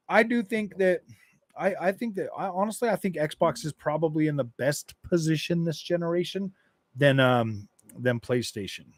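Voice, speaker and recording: A man in a casual gaming live stream, talking in a fairly high voice and wandering through his thoughts with lots of restarts. The sound is dry and close, with no room around it. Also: unhurried, fairly bright tone, no room sound. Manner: rambling, repeats and restarts phrases, laid-back.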